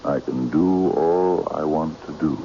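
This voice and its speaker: A mysterious, courtly eccentric in a radio mystery play, his voice cavernously deep and dark in tone. He speaks deliberately and hypnotically, with a gravelly edge, giving polite but firm commands. The recording is dull and noisy, like old radio. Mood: intent, hypnotic.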